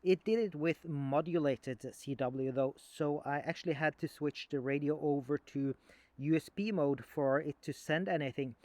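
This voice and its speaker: A man with a fairly high-pitched voice talks through a piece of hobby equipment. His delivery is casual and unscripted, explaining in a halting, conversational way. The sound is very dry, with no room echo. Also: a little mellow. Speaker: amateur radio hobbyist demonstrating equipment. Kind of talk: casual unscripted video demonstration.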